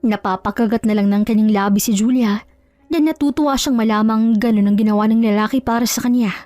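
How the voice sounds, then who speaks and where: A woman's voice: a little high, steady tone, quick pace, warm tone, no echo. A woman storyteller delivering scripted, dramatic narration of a love story. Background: music.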